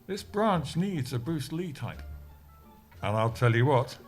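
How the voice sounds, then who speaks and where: A man's voice: medium pitch, very animated, measured pace, faint music behind. A man playing an older, self-important office colleague with a dry, pedantic manner in an audio sitcom.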